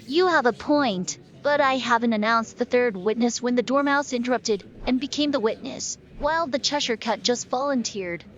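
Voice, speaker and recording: A woman's voice: on the high side, moderate pace, hardly any pauses, dull audio, lightly acted. A woman playing a formal, deferential court announcer at a royal trial in a cartoon, officious and polite. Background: music.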